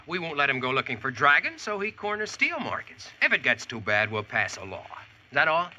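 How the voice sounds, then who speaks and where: An actor with an American accent playing a confident, wry Yankee boss and storyteller in a 1940s radio drama, plain-spoken. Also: male voice, mid-range voice, big pitch swings, fairly quick, pushed and bright, slight background noise. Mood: easygoing. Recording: muffled vintage radio, music underneath.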